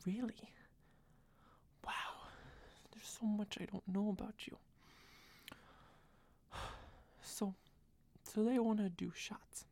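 A young woman doing a soft, close-mic whispered girlfriend roleplay, rambling and doting, a little tipsy. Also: mid-range voice, fairly even intonation, slow, gravelly, pauses often, dry recording. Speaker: ASMR roleplayer.

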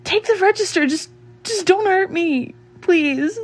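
An actress performs a mouthy woman shop worker, cornered in an audio drama. Her voice sits very high for a woman. She speaks slowly in halting, broken phrases, swinging between bravado and fear. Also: expressive. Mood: alarmed, pleading. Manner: halting, stammering, repetitive pleading. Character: mouthy defiant woman shop worker turned frightened, pleading captive. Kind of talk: solo dramatic audio roleplay.

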